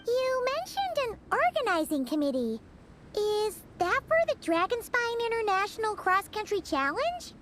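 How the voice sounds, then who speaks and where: A woman's voice: extremely high pitch, sing-song, moderate pace, fairly bright tone, slight background noise, full character voice. A woman voicing a tiny, eager floating sprite companion who talks about herself in the third person, acted for a game. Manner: eager, refers to herself by name. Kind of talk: game voice acting.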